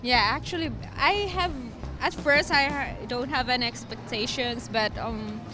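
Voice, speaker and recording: A woman giving casual, halting, informal answers as an interviewee on the street. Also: on the high side, over music. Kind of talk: casual chat.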